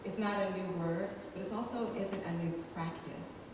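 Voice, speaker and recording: Lower-voiced woman in an echoey hall. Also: normal speed, distant mic, background noise. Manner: warm, conversational, asks "right?". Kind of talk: panel talk to a live audience. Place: large hall with audience.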